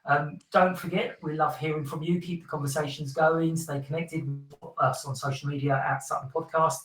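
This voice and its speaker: A man hosts a relaxed podcast chat, friendly and prone to laughing, with plenty of ums. His voice is bright and sits a little high. He is recorded a bit away from the mic, so the room echoes around him. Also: moderate pace, far from the mic, faint music behind. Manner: chatty, friendly, laughs often with filler ums. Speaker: podcast host.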